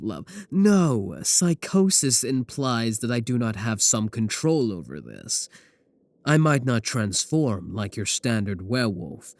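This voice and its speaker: A man playing a possessive werewolf professor in an intimate ASMR roleplay, speaking deliberately with coolly affectionate menace. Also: mid-range voice, no echo. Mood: cold, confessional. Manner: slow, deliberate, soft chuckles, pet names. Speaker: voice actor in a roleplay audio.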